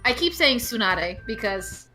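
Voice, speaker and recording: A young woman co-hosting a casual let's-play, giggly and drawn-out. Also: a little high, sing-song, sharp tone, faint music behind. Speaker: co-host of a gaming channel.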